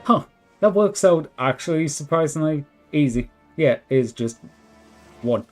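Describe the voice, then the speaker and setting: A man streaming a game live with a worn, hoarse voice, thinking out loud and chatting with viewers. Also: fairly high pitch, lively intonation, a little slow. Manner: thinks aloud, chats with viewers. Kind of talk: relaxed live gameplay commentary. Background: faint background music.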